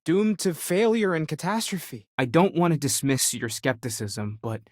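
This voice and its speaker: A man's voice: fairly high pitch, lively intonation, normal speed, darkish tone, dry recording, clean studio sound. A young man acting an earnest, curious, spiritually searching space explorer in a video game, thoughtful and sincere in his delivery. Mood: earnest, wondering.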